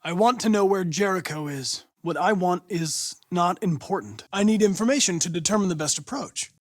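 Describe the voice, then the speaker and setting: A man's voice: high voice, moderate pace. A man playing a polite, precise young male android detective in a dramatic video game. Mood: calm, formal. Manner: precise, formal, clipped. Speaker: police investigator android.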